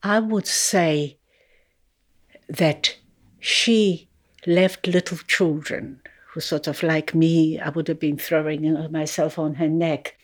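An older woman speaks in a lowish voice, taking her time. She tells her story reflectively, with gentle laughs. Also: steady tone. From Poland. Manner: slow and thoughtful, with soft laughs. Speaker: interviewee. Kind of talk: reflective personal recollection.

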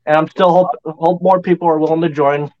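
Guy w a bit nasal voice, kinda high, laid back, muffled lo-fi audio. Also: steady tone, a little fast. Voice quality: slightly nasal. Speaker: guest, fan group admin. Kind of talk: casual chat.

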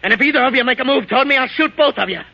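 An actor playing a paranoid, thirst-crazed drinker in an old-time radio drama, with a raspy, high-strung, menacing delivery. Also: male voice, racing, slight background noise. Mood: threatening. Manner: raving, menacing, sneering. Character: paranoid, thirst-crazed, whiskey-drinking husband stranded in wasteland. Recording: dull vintage radio recording.

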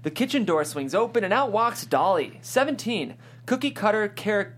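A woman's voice: medium pitch, big pitch swings, moderate pace. A woman reading stage directions as scripted narration at a table read. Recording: clear background hum and noise.

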